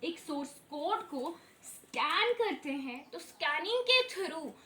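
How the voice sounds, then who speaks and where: Young Indian woman, lesson host, Indian accent, high voice, slow English definitions. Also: animated, sharp tone. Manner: mixes English terms into Hindi, slow deliberate English definitions. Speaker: computer science teacher. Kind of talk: explanatory lesson.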